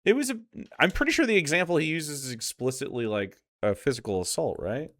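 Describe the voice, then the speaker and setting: A man's voice: a little high, expressive, fairly quick, fairly bright tone. A man giving casual, argumentative live commentary as a streamer, blunt and sarcastic, with plenty of swearing. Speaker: streamer reacting to a video.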